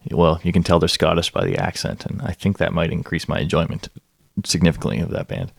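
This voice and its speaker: A man's voice: deep voice, quick pace, gravelly, husky, no echo, clean studio sound. A man hosting a radio music show, chatting casually between songs in an easygoing, hesitant way. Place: Prince Edward Island.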